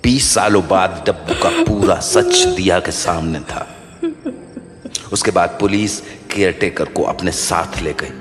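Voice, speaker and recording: A man narrating a scripted horror story as a measured storyteller. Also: deep voice, full character voice. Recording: long studio reverb.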